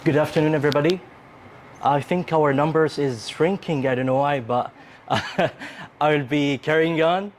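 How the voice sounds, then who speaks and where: A man's voice: fairly high pitch, normal speed, background noise. A man from Upper Egypt with an Egyptian accent, giving a prepared conference presentation. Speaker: conference presenter, English teacher.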